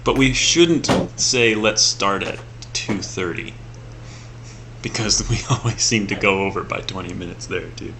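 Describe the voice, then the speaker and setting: A man with a deep, clear voice chatting casually before a tabletop game, laughing easily as he tells stories. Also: measured pace, noisy background. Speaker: player. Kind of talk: casual pre-game chat.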